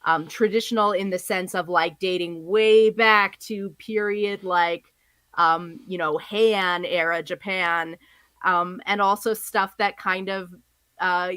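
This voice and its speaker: A woman co-hosting a podcast in casual discussion, hesitant, with many fillers, and quick to laugh. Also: fairly low pitch, a bit bright, no room sound. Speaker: podcast co-host.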